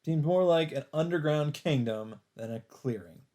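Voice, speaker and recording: A man's voice: a little high, expressive, normal speed, mellow. A man reading a visual novel's narration aloud as a let's player. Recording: music playing behind the voice. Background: game background music.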